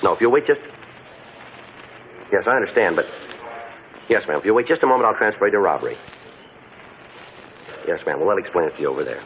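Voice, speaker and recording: A man acting a terse, patient police detective with an American accent, in clipped, deadpan 1950s radio drama style. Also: low-pitched, fast, long pauses. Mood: matter-of-fact, dry. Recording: muffled, noisy old radio recording.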